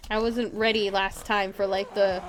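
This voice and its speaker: A woman giving excitable live gameplay commentary, talking to herself and to her viewers. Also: mid-range voice, normal speed, background noise. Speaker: game streamer. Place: indoors, streaming at a computer.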